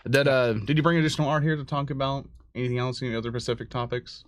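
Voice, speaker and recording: A man's voice: mid-range voice, steady tone, rapid-fire, dry recording. A man hosting a casual livestream interview, joking and self-deprecating as he talks. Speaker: livestream host. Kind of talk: casual unscripted interview chat.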